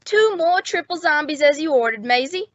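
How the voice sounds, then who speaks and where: A woman's voice: sits high, lively intonation, moderate pace, muffled recording, slight background noise. A woman playing an eager, earnest, slightly stammering young bellboy in an old-time radio comedy.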